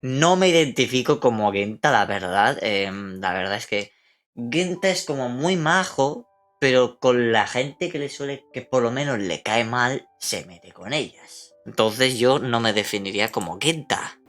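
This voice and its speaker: A man, in a medium pitch. He speaks casually and plainly, as in an interview, over soft music. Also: lively intonation, measured pace. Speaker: amateur voice actor.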